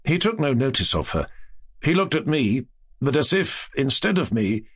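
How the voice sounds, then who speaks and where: A man's voice: medium pitch, sing-song, normal speed, slightly rough. A man reading a classic ghost story as scripted literary narration for an audiobook.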